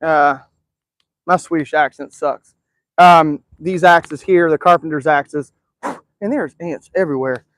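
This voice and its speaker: Casual male live-stream host: high-pitched, dry close sound, loose rambling chatter full of ums. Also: unhurried, pauses often, a bit bright, no echo. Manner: rambling, filler-heavy, easygoing. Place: outdoors in a backyard.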